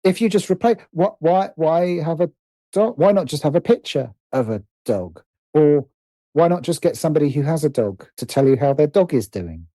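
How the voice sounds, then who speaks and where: A man speaking as an interview guest in a casual, conversational way, animated but halting, often restarting and repeating phrases as he thinks aloud. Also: on the high side, no echo. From Britain. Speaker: interview guest, writer and producer.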